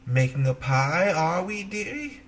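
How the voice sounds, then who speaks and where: A man's voice: fairly high pitch, sing-song, unhurried, fairly bright tone, slight background noise, voice pushed up, full character voice. A man voicing a wicked queen disguised as a sly old beggar woman in a storybook, coaxing and falsely sweet. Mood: sly, teasing. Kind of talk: storybook character voice.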